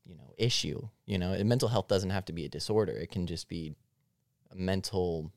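A man's voice: deep voice, brisk, gravelly, a little mellow, no echo, studio quality. An eighteen-year-old man talking informally as a guest on a podcast. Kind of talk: casual discussion.

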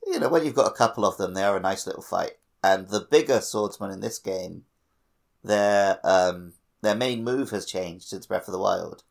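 A man's voice: low voice, normal speed, creaky. A man co-hosting a podcast, chatting casually about a video game. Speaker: podcast co-host.

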